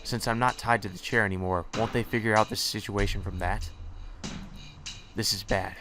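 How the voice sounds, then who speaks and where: A man's voice: fairly low pitch, steady tone, normal speed, over music. A man reading visual novel text aloud with casual commentary, sometimes stumbling over words and correcting himself.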